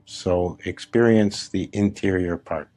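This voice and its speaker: A man with a fairly low voice leads a guided meditation. He gives gentle, repetitive instructions in short phrases, leaving unhurried pauses between them. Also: fairly even intonation. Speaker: spiritual teacher leading meditation.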